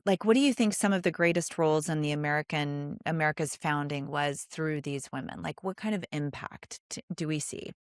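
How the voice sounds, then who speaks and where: Female podcast host, lower register, earnest and emphatic, close dry sound. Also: a little fast, few pauses, no echo. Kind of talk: conversational interview.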